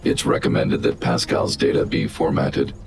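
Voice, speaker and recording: A man playing a calm, protective guardian robot in a video game, speaking in a formal, measured, analytical way. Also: lightly acted. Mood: calm, clinical. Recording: music behind the voice.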